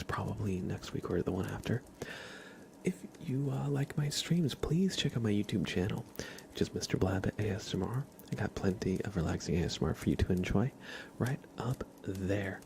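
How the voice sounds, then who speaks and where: A man chatting softly as an ASMR livestream host, hushed and often slipping toward a whisper. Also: lowish voice, gravelly, background noise. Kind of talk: soft-spoken ASMR livestream chat.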